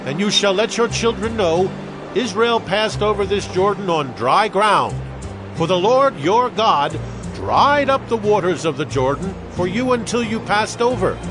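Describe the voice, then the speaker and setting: An actor playing an earnest, commanding leader of ancient Israel, declaiming solemnly in an audio Bible drama. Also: male voice, high-pitched.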